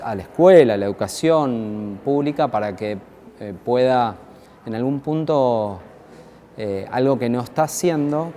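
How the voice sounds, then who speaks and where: Argentine man lecturing, halting and forceful-toned. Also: medium pitch, a little hiss or hum. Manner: halting, searching for words, repeating phrases, checking with "¿no?". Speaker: academic speaker. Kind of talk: reflective lecture on education.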